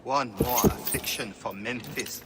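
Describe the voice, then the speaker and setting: An actor playing a troubled, solemn high priest and devoted husband in a video game, speaking in a declamatory way. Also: male voice, unhurried, noisy background, natural voice. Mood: despairing, solemn. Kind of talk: dramatic video game acting.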